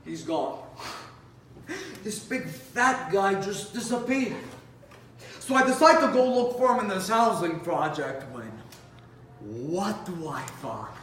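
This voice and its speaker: A man acts out a world-weary police officer recounting life on the beat in a dramatic monologue. His voice is fairly high and forceful, conversational yet theatrical, with deliberate pauses. It is picked up from a distance in a roomy space. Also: expressive, heavily acted. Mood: incredulous, amused. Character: world-weary male police officer telling beat stories, animated, incredulous. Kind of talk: competitive dramatic monologue performance. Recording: roomy, distant mic with faint noise.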